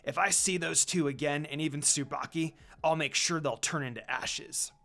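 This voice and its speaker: A man doing a snarky shouting half-demon boy. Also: on the high side, normal speed. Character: rude quick-tempered young male half-demon.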